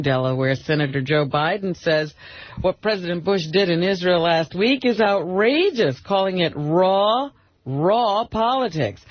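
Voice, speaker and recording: A man's voice: sits high, dry recording. A man reading the news on a radio show, often breaking into laughter. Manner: breaks into laughter mid-sentence. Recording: dull radio broadcast audio.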